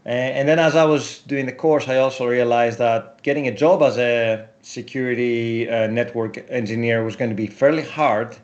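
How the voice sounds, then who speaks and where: A man in his forties whose first language is Greek, a guest telling his career story in a casual interview with animated asides. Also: mid-range voice, moderate pace, hardly any pauses, dull audio. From Europe.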